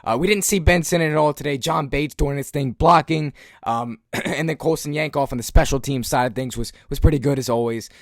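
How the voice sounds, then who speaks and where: A young man with a hoarse voice from screaming at a game, giving a casual post-game breakdown as a fan channel host, clearing his throat often and filling pauses with "um" and "uh.". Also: on the high side, no room sound, clean studio sound. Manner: frequent throat clearing, filler-heavy. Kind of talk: post-game analysis monologue.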